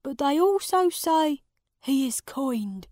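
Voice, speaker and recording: A woman's voice: sits high, lively intonation, slow, a little mellow, no room sound, clean studio sound, voice pushed up. A woman voicing a small, worried old male servant in a storybook reading. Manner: anxious, fearful.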